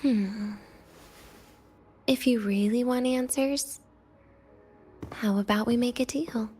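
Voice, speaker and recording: A woman voice acting a weary, teasing, guarded and cynical young woman patient in a video game, with a breathy, languid delivery that slips into near-whispers. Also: fairly high pitch, takes it slowly, a little mellow. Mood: guarded, coy. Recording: music behind the voice. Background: background music.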